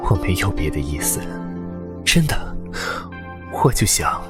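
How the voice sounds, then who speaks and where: An actor playing a tender, hesitant, lovelorn immortal nobleman in a Chinese audio drama, speaking in halting, breathy, earnest phrases. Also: deep voice, very animated. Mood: pleading, tender. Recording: loud sad new-age music behind.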